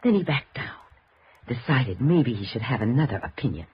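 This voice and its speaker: An actress playing a suspicious, grieving daughter in a 1950s radio drama, halting and emotionally insistent. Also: female voice, rumbling low, sing-song, husky, full character voice. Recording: dull, noisy old radio recording.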